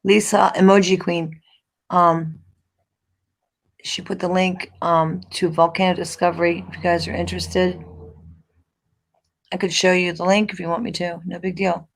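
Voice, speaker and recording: A woman hosting a casual livestream chat, hoarse and losing her voice, clearing her throat as she talks. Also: on the low side, flat delivery, moderate pace, long pauses. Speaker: livestream host.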